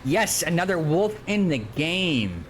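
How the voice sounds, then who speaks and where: A man's voice: fairly high pitch, very animated, slow, soft background music. A man in his early forties chatting casually and informally as he streams a game. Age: early 40s. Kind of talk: casual chat while gaming.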